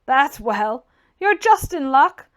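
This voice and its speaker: Brash teasing gentleman, played by a woman, audiobook dialogue, theatrical, mocking, emphatic, high-pitched. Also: sing-song, takes it slowly, heavily acted. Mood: bluff, hearty.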